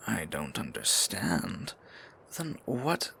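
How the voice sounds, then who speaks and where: A man playing a tender, possessive, courtly dark sorcerer in an intimate fantasy ASMR roleplay, speaking formally in a breathy, gravelly near-whisper. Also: low voice, wildly swooping pitch, slight background noise, heavily acted. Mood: shocked sympathy. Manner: formal, archaic endearments, slow deliberate phrasing.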